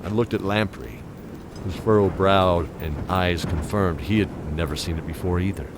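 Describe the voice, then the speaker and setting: Deep-voiced male fantasy narrator. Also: normal speed, noisy background. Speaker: narrator and voice actor. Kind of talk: dramatic first-person fantasy narration. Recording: music under the voice. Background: music.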